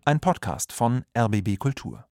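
A man's voice: medium pitch, lively intonation, moderate pace, creaky, loud music behind the voice. A man announcing the titles of a radio play podcast in a scripted style.

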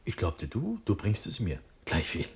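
A young Prussian prince in a classical radio drama, proud and trusting. His low voice is slightly husky and rises and falls widely. He declaims verse with fervour, moving between urgency and hush. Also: wildly swooping pitch, moderate pace, exaggerated voice. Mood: eager, expectant. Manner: declamatory, impassioned, swinging from urgent to hushed.

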